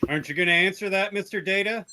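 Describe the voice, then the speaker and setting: Man playing a sharp, high-pitched irritated officer. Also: fast, slight background noise. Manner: snappish, insistent. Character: irritated starship officer snapping in a dream. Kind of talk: amateur radio play live read.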